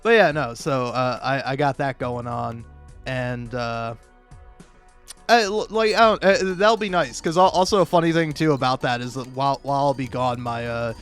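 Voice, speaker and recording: A man's voice: medium pitch, moderate pace, fairly bright tone, clean tone. An American man from Florida rambling casually as a gaming creator, hesitant and self-deprecating, often stammering and repeating words. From Florida, United States. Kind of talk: casual rambling chat over gameplay. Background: music.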